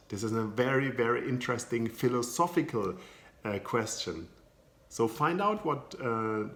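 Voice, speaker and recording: A man's voice: fairly low pitch, fairly bright tone. A German man with a German accent, a psychologist and coach talking informally to camera, searching for words with many restarts. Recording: echoey room.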